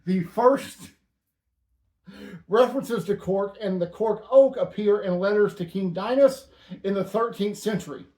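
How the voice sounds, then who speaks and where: A man with a fairly high voice hosts a casual show about stamps. He delivers facts and jokes in a dry, deadpan way. The room around him echoes noticeably. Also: a little slow. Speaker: host. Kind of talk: casual stamp-collecting chat.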